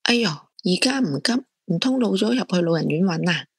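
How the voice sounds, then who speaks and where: Husky, nosy woman friend played by an actress. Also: fairly low pitch, animated, fairly quick, no room sound, studio quality, exaggerated voice. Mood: nosy, teasing. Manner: blunt, prying questions and exclamations. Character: nosy, blunt female friend prying into romances. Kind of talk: Cantonese audio drama acting.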